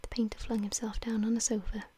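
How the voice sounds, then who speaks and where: A woman narrating a literary story in a breathy, unvoiced whisper. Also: on the high side, level tone, quick pace, mellow. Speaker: audiobook narrator.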